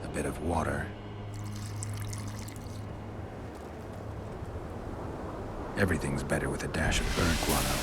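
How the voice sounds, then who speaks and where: An actor playing a gruff, world-weary monster hunter in a video game, speaking in a deadpan, measured way. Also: male voice, low voice, natural voice. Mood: quiet, musing.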